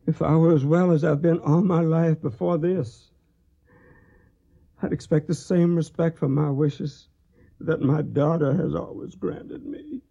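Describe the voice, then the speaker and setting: Grave ailing older father, husky, formal, radio drama, dull lo-fi recording. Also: fairly high pitch, fairly even intonation, measured pace, mellow, full character voice. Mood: wounded, firm. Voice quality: husky, gravelly, weakened by illness. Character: ailing, stern Tennessee father in 1861.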